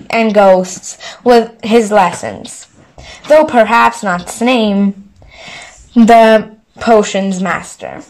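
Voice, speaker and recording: A woman's voice: on the high side, rough recording. A woman storyteller narrating a bedtime story, reading it aloud from a book. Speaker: storyteller, channel host.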